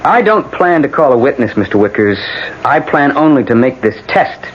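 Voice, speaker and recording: An actor playing an insistent defense attorney in a radio drama, speaking in a dramatic, rhetorical, emphatic way. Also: male voice, mid-range voice, very animated, moderate pace, close to an everyday voice. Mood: firm, urgent. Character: theatrical, insistent male defense attorney addressing a court. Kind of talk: 1970s-80s radio drama acting. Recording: dull, hissy old radio broadcast.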